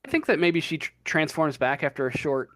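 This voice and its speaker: A man's voice: on the high side, brisk, a bit bright, dry recording. A man running a tabletop role-playing game as game master over a voice call, hesitant and full of fillers. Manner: hesitant, filler-heavy, trailing off.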